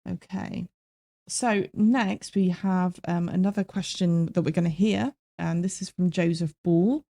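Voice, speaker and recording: A woman co-hosting a podcast, backing up her colleague with quick agreement and introducing listener questions. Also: lowish voice, steady tone, creaky, warm tone. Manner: agreeable, frequent short affirmations. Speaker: podcast co-host.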